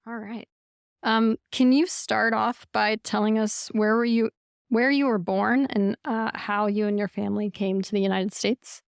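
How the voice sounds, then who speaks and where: A woman's voice: mid-range voice, steady tone, unhurried, vocal fry, clear, resonant tone, no echo, clean studio sound. A woman hosting a podcast interview, chatty and casual, with heavy use of "like" and "um". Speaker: podcast host.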